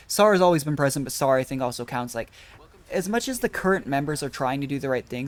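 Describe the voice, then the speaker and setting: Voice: medium pitch, slight background noise. A game streamer giving casual playthrough commentary, rambling and thinking aloud.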